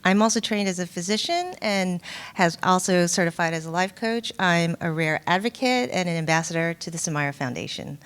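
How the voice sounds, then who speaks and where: Low-voiced woman moderating a panel. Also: fairly quick, fairly bright tone. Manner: apologetic, chatty, lots of fillers. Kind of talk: moderating a live patient panel. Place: event venue in front of an audience.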